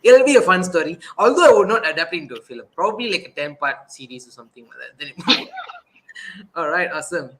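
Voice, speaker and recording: A man's voice: high voice, lively intonation, fast talker, a bit bright, poor audio. A man hosting a casual online talk show, upbeat and laughing often, with frequent fillers and restarts. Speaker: host.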